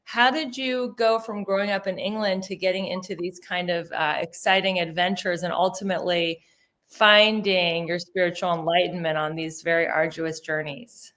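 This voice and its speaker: A woman's voice: fairly low pitch, steady tone, moderate pace, fairly bright tone. A woman hosting an interview over a video call, chatty and enthusiastic. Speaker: interview host. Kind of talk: casual interview chat.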